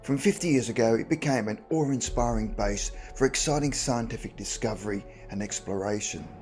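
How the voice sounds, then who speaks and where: A man narrates a science documentary in a smooth, measured, formal read. His pitch sits in a middle range. Faint music plays underneath. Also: a little mellow. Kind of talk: scripted narration.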